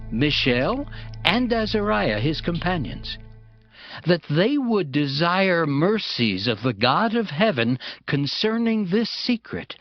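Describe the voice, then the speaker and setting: A man narrating dramatized scripture in a measured, formal storytelling style. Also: on the high side. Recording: dull band-limited audio under prominent music. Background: music.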